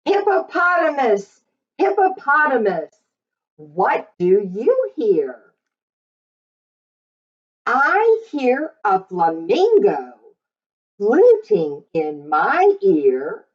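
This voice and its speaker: Very slow, lively woman reading a storybook. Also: a little high. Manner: expressive, sing-song, repetitive questioning delivery for children. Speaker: read-aloud storyteller for young children. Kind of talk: picture-book read-aloud. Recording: slightly dull, lo-fi recording.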